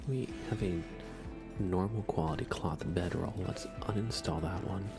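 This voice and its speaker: A man doing soft, hushed, unhurried ASMR commentary as he streams a game, musing aloud. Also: low-pitched, gravelly, music in the background. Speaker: live streamer.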